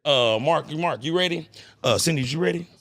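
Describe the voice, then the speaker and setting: A man's voice: a little high, fairly quick, a bit bright, no room sound. Laid-back, slangy man hosting a podcast. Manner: laid-back, slangy, hypes up his guest. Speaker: podcast host. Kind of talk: casual chat. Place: cigar lounge.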